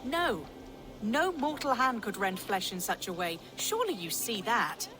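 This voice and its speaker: A woman's voice: on the high side, very animated, unhurried, background noise. An actress voicing an earnest, grave Saxon woman in a video game, speaking formally. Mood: grave, insistent. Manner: grave, formal archaic phrasing. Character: earnest Saxon woman wary of wicked beasts. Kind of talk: dramatic video game acting.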